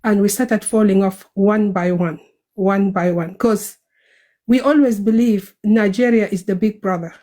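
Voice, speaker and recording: A woman with a South Sudanese accent talks in a casual online audio chat. She speaks earnestly and a little rushed, in a voice of ordinary middle pitch. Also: steady tone, dark tone. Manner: hurried, earnest speaking at work. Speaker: online group member.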